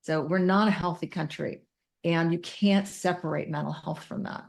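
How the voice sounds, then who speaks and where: A woman's voice: lowish voice, fairly even intonation, normal speed, vocal fry. A woman explaining things as an expert guest, emphatic and detailed, mixing technical jargon with casual wording. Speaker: podcast guest, mental health clinician.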